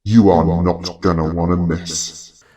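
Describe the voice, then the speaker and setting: A man voicing a grandiose game master who announces an arena battle in a drawn-out ringmaster manner for an audio story. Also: sits low, fairly even intonation, mellow, recorded from a distance, voice pushed down. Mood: grand, theatrical.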